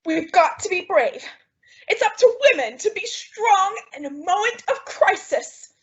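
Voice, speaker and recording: A melodramatic housewife played by a woman with a very high voice, effusive and doting. She speaks in the broad manner of an old radio comedy, at a brisk clip, on a slightly dull recording. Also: lively intonation. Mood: bravely composed. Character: emotional, dramatic, doting young-ish housewife. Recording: dull, lo-fi audio drama recording.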